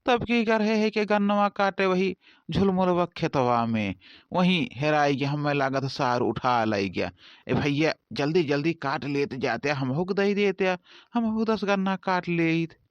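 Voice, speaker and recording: The recording is dull and close, with little room sound. A man plays a cartoon village farmhand with an Awadhi accent. He grumbles and argues in rustic, colloquial banter, his voice a little high. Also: measured pace, clean tone, no echo, close to an everyday voice. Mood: grumbling. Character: grumbling Awadhi village farmhands idling over cane cutting. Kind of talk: rustic cartoon comedy dialogue.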